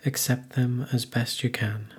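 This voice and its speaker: A man leading a guided meditation, speaking gently in measured phrases with long pauses. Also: mid-range voice, level tone, breathy edge. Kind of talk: guided meditation, soothing instruction.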